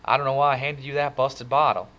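A man's voice: medium pitch, level tone, fast, muffled recording, voice pushed up, lightly acted. A man doing a cocky wisecracking teenage boy. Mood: easygoing.

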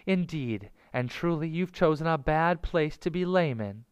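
Guy as a gloating lady cobra from a storybook, raised pitch, cold threats, taunting. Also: animated, a little slow, clear, resonant tone, no room sound. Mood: cold menace. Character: menacing, vengeful female cobra, coldly gloating. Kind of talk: storybook character voice.

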